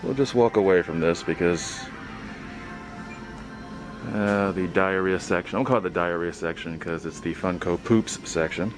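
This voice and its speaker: A man's voice: deep voice, moderate pace. A man giving casual commentary as a vlog host, muttering to himself while browsing in a store. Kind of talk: casual toy hunt commentary. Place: inside a retail store aisle. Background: music.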